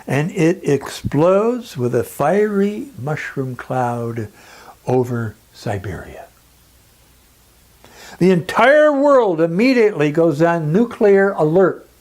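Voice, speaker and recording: A speaker delivering a dramatic scripted monologue in a grave, emphatic, oratorical manner with deliberate pauses. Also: medium pitch, a little mellow.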